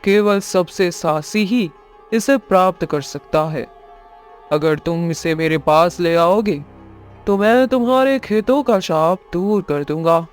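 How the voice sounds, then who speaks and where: Man voicing a high-pitched, sinister cartoon magician. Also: soft background music. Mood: sly, bargaining. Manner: menacing, slow, deliberate. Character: sinister greedy bargaining male magician. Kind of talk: Hindi animated moral story acting.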